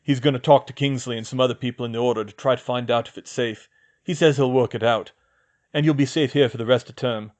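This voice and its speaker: A man's voice: medium pitch, fairly even intonation, fast, darkish tone, lightly acted. A man voicing a modest teenage boy who speaks plainly and hesitantly. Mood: earnest.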